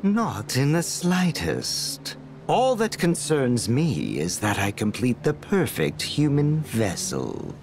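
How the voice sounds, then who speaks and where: A man voicing an obsessive, creepy scientist villain with a sly, drawn-out delivery. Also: mid-range voice, huge pitch swings, creaky, darkish tone, a little hiss or hum, full character voice.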